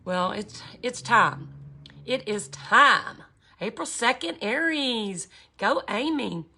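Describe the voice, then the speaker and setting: Cheerful woman in her fifties; slow, bright-voiced livestream art teacher who chats with viewers as she works. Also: on the high side, animated, gravelly, a little hiss or hum. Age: 50s. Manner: chatty, cheerful, reads out viewer comments. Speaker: glass art instructor. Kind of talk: livestream craft tutorial chatting with viewers.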